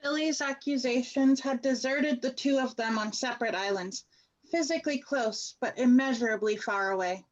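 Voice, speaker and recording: Woman doing serious lady narrator for radio drama, highish voice, bit lo-fi. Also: moderate pace, close to an everyday voice. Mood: grave. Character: grave female storyteller narrator of a family drama. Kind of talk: radio play third-person scripted narration. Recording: slightly dull, lo-fi sound.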